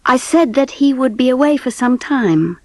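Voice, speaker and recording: A woman playing a gentle middle-class mother who narrates her family's story in a dramatised audiobook. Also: high-pitched, lively intonation, normal speed, no echo, clean studio sound. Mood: sombre, steady.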